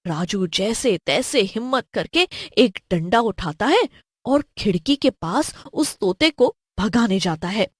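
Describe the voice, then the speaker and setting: A woman's voice: mid-range voice, animated, slow pace, no room sound, studio quality. A woman narrating a scripted horror story as a storyteller.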